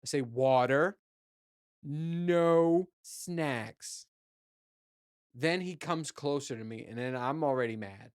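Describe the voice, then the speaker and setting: A man with a low voice, hosting his own show and telling a loose, profane travel story with lots of fillers and restarts. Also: takes it slowly, clear, resonant tone, no echo, clean studio sound. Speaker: podcast host. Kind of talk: casual solo chat.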